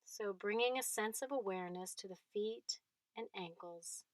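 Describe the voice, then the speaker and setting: A woman leading a guided body scan meditation, speaking in gentle short phrases with long pauses between them. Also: medium pitch, no room sound.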